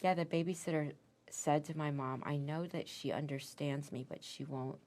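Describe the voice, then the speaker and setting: A woman's voice: sits low, fairly even intonation, moderate pace. A woman in her fifties with an American accent, recalling her past in a thoughtful, hesitant interview. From Korea, raised in the United States. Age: 50s. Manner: soft-spoken, thoughtful, with hesitant restarts. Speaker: interviewee, Korean adoptee. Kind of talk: reflective interview.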